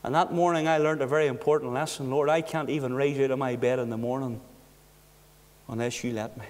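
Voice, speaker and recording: A middle-aged man preaching a sermon behind a pulpit, earnest, with rhetorical questions and hushed passages. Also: mid-range voice, fairly even intonation, a little fast. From Northern Ireland. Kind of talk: sermon with personal story. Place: church hall behind a wooden pulpit. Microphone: pulpit mic from a far camera. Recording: echoey church hall.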